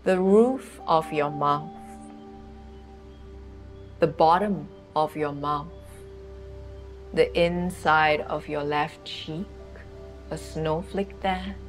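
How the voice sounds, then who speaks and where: A woman leading a guided yoga nidra meditation in a soothing, unhurried way, with long pauses. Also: low-pitched, steady tone, faint music behind.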